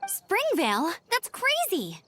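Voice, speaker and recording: A woman playing a perky, eager young scout in a video game, speaking briskly and helpfully. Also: very high voice, huge pitch swings, pushed and bright, a little hiss or hum, full character voice. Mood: concerned. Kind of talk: video game character acting.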